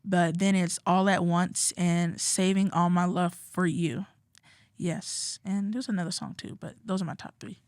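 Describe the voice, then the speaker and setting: A teenage girl, a high school senior, chatting as a guest in an interview and rambling with lots of "like" and restarts. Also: steady tone, unhurried, darkish tone, no room sound, studio quality. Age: teens. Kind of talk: casual interview chat.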